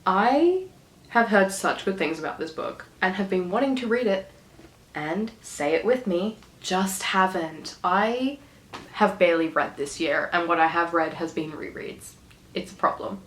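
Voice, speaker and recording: Giggly young Australian woman, hoarse, echoey room. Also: on the low side, lively intonation, measured pace. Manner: chatty, giggly, rambling with fillers. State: hoarse from recovering illness. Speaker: book vlogger. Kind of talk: casual chat to camera.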